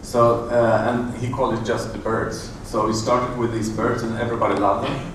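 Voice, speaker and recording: A middle-aged man giving a conference talk in a large hotel room, halting with many fillers and restarts. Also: medium pitch, level tone. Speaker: conference presenter. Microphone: room audio from a far camera. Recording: echoey, distant room recording with noise.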